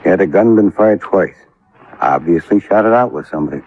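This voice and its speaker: An American actor playing an easygoing, laconic police lieutenant in a 1950s radio drama, unhurried and noncommittal, with a husky voice. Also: male voice, sits low, expressive, dry recording, heavily acted. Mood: laconic, matter-of-fact. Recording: dull vintage radio recording.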